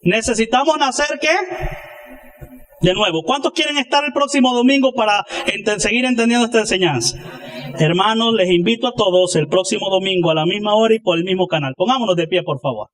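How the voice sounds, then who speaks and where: A man's voice: sits high, a little fast, a bit bright. A male pastor preaching a sermon to a congregation, emphatic and driven by rhetorical questions and answers.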